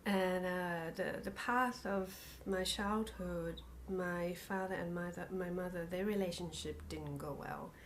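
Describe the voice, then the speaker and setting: A middle-aged woman from Thailand and New Zealand, telling her life story as an interview guest in a halting, reflective, breathy way on a video call. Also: fairly low pitch, fairly even intonation. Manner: halting, reflective, phrases broken by pauses. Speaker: interview guest, spiritual practitioner. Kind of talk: personal life story in conversation. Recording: video call with faint background noise.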